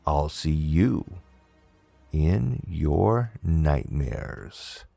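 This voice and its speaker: A man narrating a scary paranormal story from a script, dramatic and deliberate, with measured pauses. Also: very deep voice, big pitch swings, vocal fry, dark tone, husky, soft background music. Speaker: horror story narrator and channel host.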